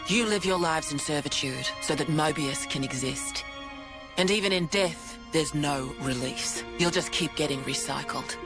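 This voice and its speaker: A woman's voice: low voice, normal speed. An actress playing an earnest, blunt female resistance officer who explains a grim war in a video game. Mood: grave, intense. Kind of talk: video game cutscene acting. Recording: orchestral game music behind.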